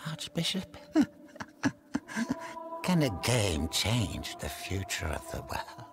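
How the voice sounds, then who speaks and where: An actor playing a scheming old male inquisitor who speaks slowly and coldly over loud game music. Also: lowish voice, huge pitch swings, heavily acted. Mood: cold calm. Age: older. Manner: slow, measured, gravelly. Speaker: Grand Inquisitor.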